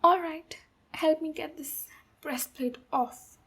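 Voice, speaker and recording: Woman playing a high-voiced, unhurried ogre warrior woman. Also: full character voice. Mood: protective, reassuring. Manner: unhurried, soft-spoken, reassuring, with pauses. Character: tough but protective female ogre warrior, gruffly caring. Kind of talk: ASMR roleplay, speaking to the listener.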